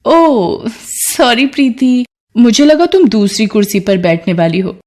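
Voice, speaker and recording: Woman voicing a haughty, mellow rich city girl. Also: fairly high pitch, expressive, unhurried, voice pushed up. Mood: mock sweet. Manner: scornful, bossy. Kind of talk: dramatised Hindi moral story.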